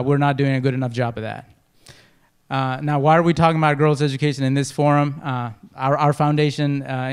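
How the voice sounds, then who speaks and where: A man from Nepal pitches for a non-profit in an informal, hesitant manner. His voice is smooth and clear, at a medium pitch. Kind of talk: informal spoken presentation.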